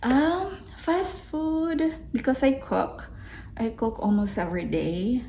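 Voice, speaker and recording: A woman's voice: sits high, slow, mellow, slight background noise. A young adult woman from the Philippines with a Filipino accent, chatting casually in her vlog with many fillers and restarts. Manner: chatty, lots of fillers and restarts, laughs easily. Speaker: vlogger. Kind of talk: casual self-reflective vlog.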